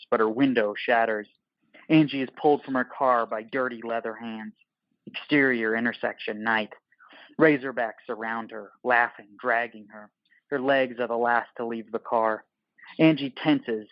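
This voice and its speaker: A man's voice: mid-range voice, dry recording, muffled recording. A man narrating an audio play from a script in terse, dramatic, clipped phrases.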